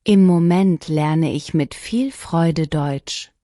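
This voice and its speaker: A woman reading short lesson sentences one at a time, each clearly and deliberately, for learners to repeat. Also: sits low, mellow, dry recording, clean studio sound. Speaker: language lesson reader. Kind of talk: scripted shadowing practice reading.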